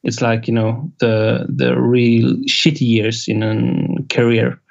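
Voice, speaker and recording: A Swedish-accented man in middle age talks slowly in a relaxed interview, his voice gravelly with vocal fry. He pauses often on fillers and chuckles between thoughts. The recording sounds dull and lo-fi. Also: medium pitch. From Sweden. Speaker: independent musician guest. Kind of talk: casual interview chat.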